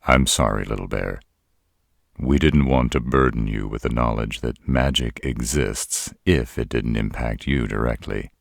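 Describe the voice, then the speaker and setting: A man playing a gruff, protective older father in a roleplay audio, with a gravelly voice and a slow, deadpan, measured delivery. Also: no room sound, studio quality, exaggerated voice. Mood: gentle, firm. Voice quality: deep, gravelly. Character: gruff old father of a werebear's mate, protective, dryly stern.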